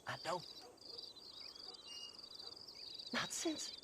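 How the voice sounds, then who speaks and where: An actor playing a deranged, greedy grave-robbing treasure hunter in a Western video game, with a rambling, cackling delivery and a rural American accent. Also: male voice, normal speed, noisy background, natural voice. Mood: creepy, eerie. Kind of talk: video game cutscene acting.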